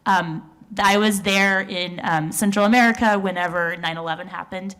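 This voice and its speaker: A woman in her forties speaks from a conference stage in a somewhat low voice. She tells her story earnestly and reflectively, with small fillers along the way. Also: steady tone, moderate pace, fairly bright tone. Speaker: researcher on a panel. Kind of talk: conference panel talk.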